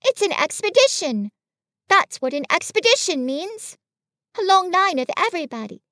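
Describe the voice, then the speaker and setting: A woman's voice: very high voice, very animated, moderate pace, bright, forceful tone, no echo, clean studio sound, pitched above the performer's own voice, exaggerated voice. A woman voicing a confident young boy in a children's story, breezy and assured as he explains to his friends. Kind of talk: children's storybook character reading.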